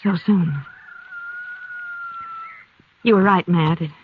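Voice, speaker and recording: An actress playing a gentle, worried farm wife in a 1950s radio western, with a soft, earnest way of talking. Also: female voice, on the low side, steady tone, a little fast, lightly acted. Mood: distressed. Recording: dull lo-fi old radio recording, faint hiss.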